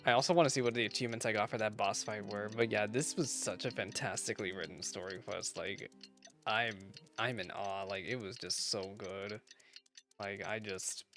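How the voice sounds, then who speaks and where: A woman's voice: extremely low pitch, fairly even intonation, moderate pace, fairly bright tone, soft background music. A young woman giving casual, gushing playthrough commentary on a game, chatty and full of slang. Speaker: gaming streamer reacting to a story quest.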